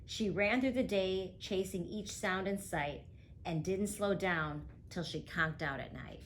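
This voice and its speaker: A woman reading a rhyming picture book aloud as a guest reader, with a rhythmic, expressive delivery. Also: fairly low pitch, unhurried, a little hiss or hum.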